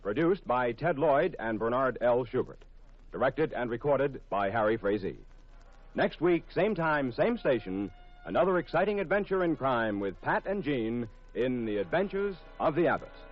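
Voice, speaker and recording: Animated male old-time radio announcer. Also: medium pitch, normal speed. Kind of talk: 1950s radio announcing, scripted credits. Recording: dull old radio broadcast recording. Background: music.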